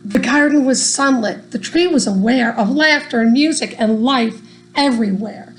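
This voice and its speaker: A woman reading a rhyming children's story aloud in an expressive, deliberate storytelling manner. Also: on the high side, poor audio. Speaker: storybook reader.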